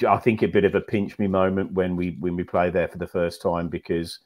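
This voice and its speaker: Deep-voiced man rambling on a video call. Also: flat delivery, brisk, a little mellow. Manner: rambling, repeats words, many "you know"s. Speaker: podcast panelist. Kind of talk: casual fan podcast chat. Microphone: video call with earphones.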